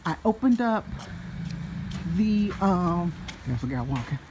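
A woman's voice: on the low side, lively intonation, slow pace, background noise. Rambling older woman vlogging on a walk. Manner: rambling, chatty, laughs often, loses her thread. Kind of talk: casual walk-and-talk vlog. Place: outdoors on a residential street at dusk. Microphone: handheld phone, filming the street ahead while walking.

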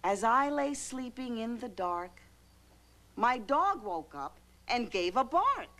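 An actress playing a gentle, encouraging mother, speaking expressively to young children in a children's show. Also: a little high, big pitch swings, takes it slowly, a little hiss or hum, exaggerated voice. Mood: animated storytelling. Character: gentle, encouraging mother reading a bedtime poem. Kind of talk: children's show acting and animated read-aloud.

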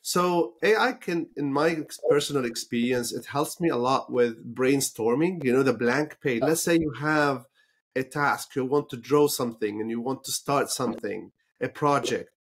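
A man's voice: medium pitch, moderate pace, husky. A man from Lebanon whose first language is not English, hosting a podcast interview in a thoughtful way with fillers and restarts. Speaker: podcast host. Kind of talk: conversational interview.

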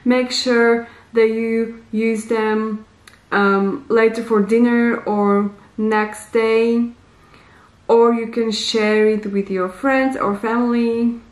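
A woman in her thirties giving deliberate, simply worded advice to camera in slightly non-native English, in a casual instructional vlog recorded in a small bare room. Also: a little high, fairly even intonation, echoey room. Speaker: vlogger, host.

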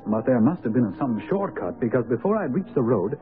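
An actor playing an earnest, courteous English doctor in a radio mystery. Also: male voice, medium pitch, big pitch swings, brisk, natural voice. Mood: narrating. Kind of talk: radio drama acting and narration. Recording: narrow-band old radio broadcast, music behind. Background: music.

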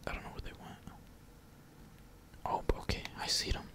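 Gamer whispering into a close mic, chill slangy asmr play-by-play, some cussing. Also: normal speed. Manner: slangy, laid-back, casual swearing. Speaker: gaming streamer. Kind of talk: whispered ASMR gameplay commentary.

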